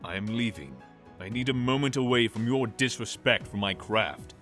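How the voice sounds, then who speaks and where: A man's voice: mid-range voice, lively intonation, moderate pace, a bit bright, faint music behind, natural voice. A man voicing a proud, stubborn god of the forge in a fantasy audio drama, with formal, emphatic delivery. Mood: indignant. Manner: indignant, emphatic, formal diction.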